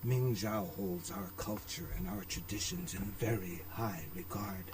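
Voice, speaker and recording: A man's voice: lowish voice, big pitch swings, distant mic, noisy background. An actor voicing a gracious, formal older businessman, a courteous father figure in a video game. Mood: solemn. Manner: formal, courteous, measured wording.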